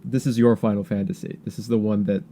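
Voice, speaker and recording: A man hosting a podcast with casual political commentary, talking in a halting, rambling way with stammered repeats. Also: lowish voice, fairly even intonation, mellow, a little hiss or hum. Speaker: podcast host.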